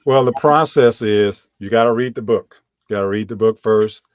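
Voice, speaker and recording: Deep-voiced man, relaxed and rambling. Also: normal speed, smooth, no room sound, clean studio sound. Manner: relaxed, rambling, many fillers. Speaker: audiobook narrator and voiceover coach. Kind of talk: casual interview answers.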